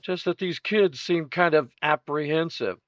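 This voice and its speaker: Man playing a higher-voiced wry police lieutenant. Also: unhurried, highs cut off, close to an everyday voice. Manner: dry, casual, tough. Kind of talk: 1950s radio crime drama acting.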